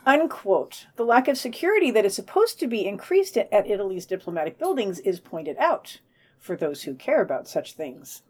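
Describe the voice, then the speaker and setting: A woman's voice: medium pitch, sing-song, moderate pace, a little mellow, breathy edge. A woman co-hosting a podcast, reading scripted news items and quotes with wry commentary. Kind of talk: scripted narration with read quotes and brief commentary.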